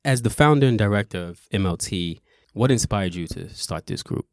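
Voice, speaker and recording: Upbeat low-voiced man hosting a podcast. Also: normal speed, over music. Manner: upbeat, energetic, with fillers. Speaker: podcast host. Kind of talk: casual podcast interview.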